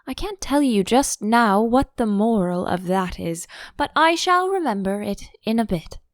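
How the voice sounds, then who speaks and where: A woman's voice: a little high, expressive, measured pace, dry recording, clean studio sound. A woman voicing an overbearing, affectionate older Duchess in a storybook, effusive and sententious, drawing out her phrases. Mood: chiding, moralising.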